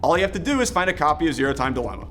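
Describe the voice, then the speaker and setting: A man's voice: a little high, very animated, rapid-fire, fairly bright tone, slight background noise. A man narrating an enthusiastic scripted video game review. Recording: music playing behind the voice. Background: background music.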